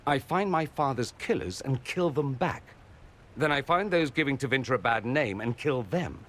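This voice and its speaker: A man's voice: mid-range voice, lively intonation, normal speed, fairly bright tone. A man playing a witty, flamboyant mage nobleman in a video game, arch and droll but tender. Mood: droll, reassuring. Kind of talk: dramatic video game dialogue.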